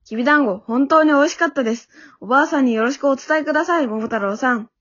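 A woman's voice: sits high, fast talker, fairly bright tone. A woman playing a timid, frail young male dog who speaks politely and hesitantly, in an amateur comedy radio drama. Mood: polite, shy. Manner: hesitant, stammering, apologetic. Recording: dull lo-fi audio.

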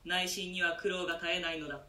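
A polite old-style jailer, high bright voice, echoey and kinda far off. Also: male voice, level tone. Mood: reflective. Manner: formal servile speech, measured. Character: polite male jailer, deferential.

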